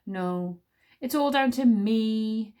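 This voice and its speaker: A woman's voice: medium pitch, sing-song, moderate pace. Woman playing a cocky, boastful young wizard boy. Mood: moody. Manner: cocky, grandiose. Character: boastful, moody thirteen-year-old wizard boy. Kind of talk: audiobook character voice.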